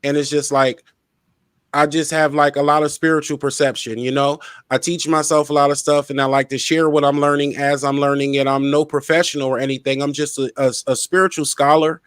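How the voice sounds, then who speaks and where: A man's voice: on the high side, level tone, a little fast, clean tone, no room sound, studio quality. An outspoken, playful man hosting a casual interview and talking about himself. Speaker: interviewer, show host.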